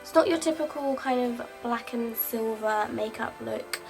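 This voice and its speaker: A woman's voice: fairly high pitch, measured pace. A young woman, stuffed up from a cold, chattily talking through a makeup tutorial step by step. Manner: chatty, friendly, step-by-step explaining. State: congested, says she is sick. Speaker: beauty vlogger. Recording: voice-over with clear music behind.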